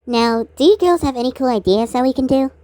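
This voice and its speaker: A woman doing amateur voice acting of conversational dialogue for a fan-made animated cartoon. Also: high voice, fast, no room sound, studio quality.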